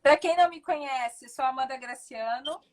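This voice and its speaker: A woman's voice: high-pitched, normal speed. A woman from in Brazil, speaking, hosting a friendly, upbeat live chat over a video call. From Brazil, Minas Gerais. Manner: friendly, upbeat, introduces topics. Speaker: host, entrepreneur.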